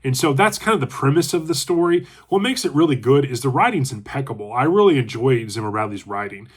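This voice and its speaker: A man's voice: mid-range voice, animated, fast, slightly rough. Congested man chatting about books. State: congested from allergies. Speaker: book reviewer and channel host. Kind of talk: casual book review talk.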